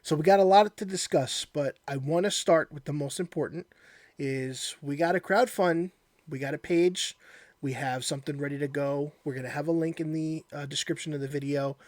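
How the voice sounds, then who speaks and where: A man's voice: a little high, normal speed, darkish tone, no room sound. A man hosting a casual interview, chatty and welcoming as he leads the conversation. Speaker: interview host.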